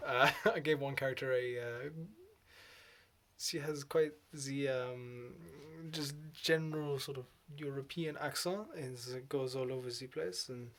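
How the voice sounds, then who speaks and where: A man's voice: mid-range voice, steady tone, measured pace, a bit bright, a little hiss or hum. A man vlogging alone, rambling and laughing as he slips in and out of put-on voices and accents. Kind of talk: rambling solo vlog.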